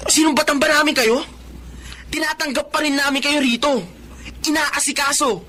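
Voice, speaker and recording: An actor playing a dutiful but resentful grown son in a Tagalog radio drama, earnest and emphatic. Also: male voice, extremely high pitch, fairly even intonation, moderate pace, sharp tone, slight background noise. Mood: hurt, confrontational. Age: young adult.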